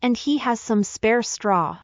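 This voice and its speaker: A woman's voice: on the high side, animated, slow pace, no room sound, muffled recording. A woman reading a scripted children's fairy tale as the storyteller.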